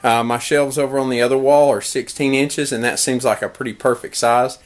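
A man in his thirties casually narrating a woodworking how-to in his workshop, relaxed and explanatory, with frequent fillers and restarts. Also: medium pitch, brisk. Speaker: woodworking vlogger. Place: workshop shed.

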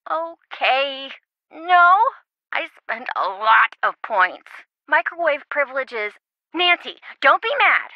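An actress playing a bubbly, scatterbrained young best friend in a video game, chatty, playful and melodramatic, in band-limited audio. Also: female voice, high voice, sharp tone, no echo, lo-fi sound, heavily acted. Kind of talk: video game phone-call dialogue.

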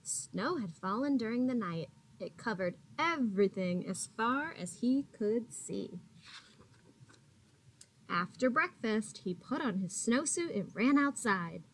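A woman teacher reads aloud to small children. Her voice sits a little high and she takes her time, stretching words expressively as she tells the story. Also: expressive, slow pace, fairly bright tone, slight background noise, low-quality recording. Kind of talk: picture-book read-aloud for young children. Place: small indoor classroom. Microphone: laptop or webcam at arm's length.

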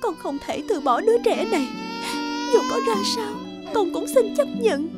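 A gentle, devoted cartoon witch mother, pleads with emotion. Her voice is very high, with music clearly behind it. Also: expressive, moderate pace. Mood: pleading. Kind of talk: cartoon dubbing.

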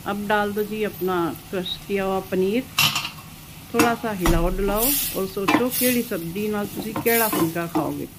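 A woman's voice: mid-range voice, normal speed. A woman hosting a cooking video in her home kitchen, talking homely and instructive and repeating words for emphasis. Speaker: cooking channel host. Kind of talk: chatty cooking narration. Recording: loud sizzling pan noise. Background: frying and stirring sizzle.

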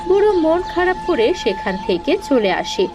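A woman's voice: extremely high pitch, very animated, moderate pace, background noise. A woman reading scripted narration for a story. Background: prominent music.

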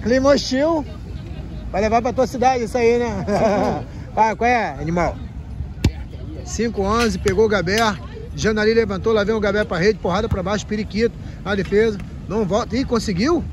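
A man casually commentating a footvolley match courtside, excitable, chanting names and teasing the players. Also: high-pitched, normal speed, noisy background. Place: outdoor beach court with a crowd. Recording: loud crowd around.

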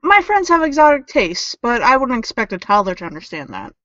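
A woman's voice: a little high, sing-song, fairly quick, a bit bright, no room sound, muffled recording. A woman playing a flippant, evasive teenage tech girl in an audio drama, with a glib, snarky delivery.

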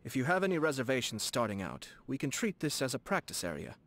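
An actor playing a courteous, composed young nobleman in a video game, speaking formally and softly with a gently teasing manner. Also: mid-range voice, big pitch swings. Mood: gentle, playful. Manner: formal, measured, politely playful. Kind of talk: video game character dialogue. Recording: studio voice over game music. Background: game background music.

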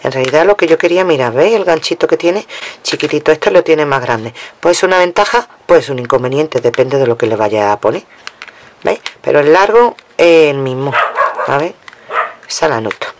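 A woman's voice: deep voice, animated, moderate pace. Chatty Spanish woman showing a craft haul. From Spain. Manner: chatty, talks to viewers, counts items aloud, scolds herself jokingly. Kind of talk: casual chatty haul vlog. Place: at a craft table indoors. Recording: noisy home recording with crinkling packets.

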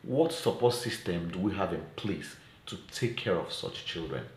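A middle-aged man with a Nigerian accent delivers an earnest appeal in a low voice. His phrasing is deliberate and emphatic. The phone recording sounds roomy, with faint noise behind it. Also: big pitch swings. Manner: deliberate, emphatic, rhetorical questions. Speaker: child welfare advocate and presenter. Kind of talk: earnest advocacy vlog to camera. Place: small indoor room at home. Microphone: phone at arm's length.

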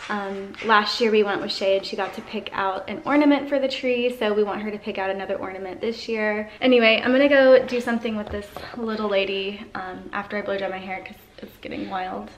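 A woman's voice: mid-range voice, fairly even intonation, brisk, few pauses. An American woman in her thirties chatting casually to camera as a vlogger, in an informal way full of "like". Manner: informal, peppered with "like". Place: indoors, large high-ceilinged house room. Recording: echoey room, faint noise.

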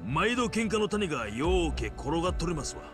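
An actor playing a middle-aged yakuza captain in a video game, gruff and swaggering, with a rough Hiroshima accent and a scolding, loyal temper. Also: male voice, on the high side, very animated, fairly quick, over music. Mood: scornful, cocky. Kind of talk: Japanese video game voice acting.

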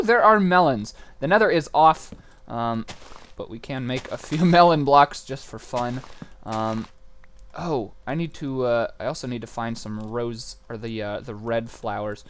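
A young man talks through his Minecraft game at a desk mic, voice clear and smooth at an ordinary pitch. He is coming down with a cold and his throat is a little scratchy. He rambles with steady uh and um fillers while keys click behind him. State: getting sick, scratchy throat. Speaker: gaming channel host. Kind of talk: casual Minecraft commentary.

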